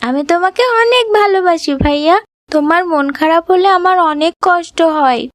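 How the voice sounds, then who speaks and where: A woman's voice: very high voice, moderate pace, no echo. A woman voicing a devoted little sister, a young girl with a tender, pleading manner, in a children's cartoon. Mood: tender, earnest. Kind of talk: children's moral cartoon voice acting.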